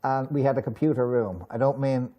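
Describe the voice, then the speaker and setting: A man's voice: medium pitch, steady tone, brisk, muffled recording. A middle-aged man with an Irish accent, hosting a business interview in a chatty, rambling, conversational way. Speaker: business show host. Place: TV studio in a hotel.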